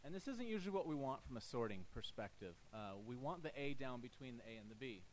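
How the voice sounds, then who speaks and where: A middle-aged man speaks at a lectern in a lecture hall, explaining code in a loose conversational way that keeps doubling back on itself. His pitch sits in an ordinary middle range. A faint hiss runs under the recording. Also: big pitch swings, quick pace. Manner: casual, thinking aloud with frequent restarts. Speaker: conference presenter. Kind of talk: technical talk with live demo.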